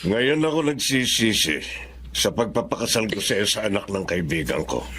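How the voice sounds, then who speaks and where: An actor playing a caring, regretful older father in a Filipino radio drama, gentle and measured. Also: on the low side, lively intonation, a little hiss or hum. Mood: concerned, regretful. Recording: drama music clearly underneath.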